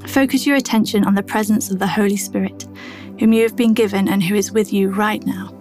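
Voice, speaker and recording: A woman reading a scripted devotional in a measured, reflective manner. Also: medium pitch, fairly even intonation, darkish tone, faint music behind. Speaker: devotional reader.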